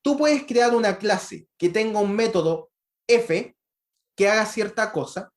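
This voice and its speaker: A man's voice: sits high, lively intonation, a little slow. A man giving an online university lecture on programming, explaining step by step and checking in with frequent rhetorical questions.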